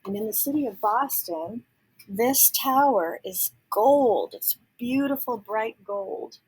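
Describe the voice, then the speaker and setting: Female storybook reader, higher-pitched with a very breathy, husky tone; expressive narration, explains things as she goes. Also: slow, rough recording. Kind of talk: children's read-aloud with explanatory asides.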